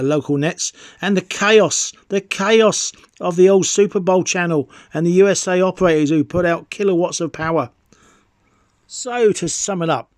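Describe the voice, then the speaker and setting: Higher-voiced middle-aged man reviewing gear. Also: no echo, clean studio sound. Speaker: video presenter. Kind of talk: enthusiast equipment review.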